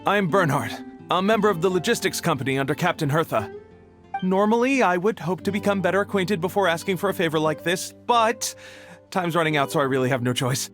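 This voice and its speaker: A man's voice: high voice, lively intonation, a little fast, a bit bright. A man playing an earnest, anxious, overly polite young logistics worker in a video game, speaking formally and a little flustered. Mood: polite, urgent. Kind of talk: video game cutscene acting. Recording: music behind the voice.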